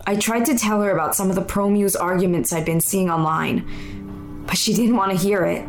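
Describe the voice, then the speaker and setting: A woman's voice: medium pitch, moderate pace, lightly acted. A young woman acting in an audio drama as a weary, candid non-binary muse, telling their story in a plain, conversational first-person way. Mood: candid, wistful. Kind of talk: confessional monologue in audio fiction. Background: music under the voice.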